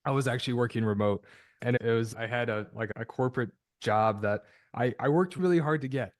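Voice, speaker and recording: A man's voice: medium pitch, a little fast, no echo, studio quality. A man hosting an interview podcast, upbeat and chatty, restarting his sentences often. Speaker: podcast host.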